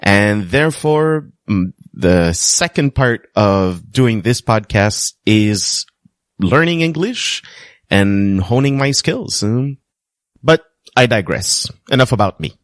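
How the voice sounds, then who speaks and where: Slow, lively man. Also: lowish voice, no room sound, clean studio sound. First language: French. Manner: earnest, self-deprecating, light humor. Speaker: podcast host. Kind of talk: personal storytelling monologue.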